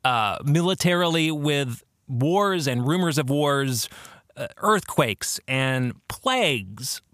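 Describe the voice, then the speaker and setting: A man hosting a podcast, explaining theology in a monologue with deliberate, emphatic phrasing. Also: mid-range voice, lively intonation, fairly bright tone, no echo, studio quality. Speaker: podcast host and author.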